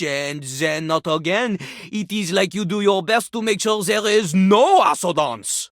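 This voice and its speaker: A woman performs a boastful French male poet-rapper from a video game. The French accent is thick with haughty drama, and the pitch leaps about expressively. The sound is dry and close, with every pompous flourish delivered theatrically. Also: no echo, natural voice. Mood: indignant. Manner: haughty, dramatic, speaks of himself in third person.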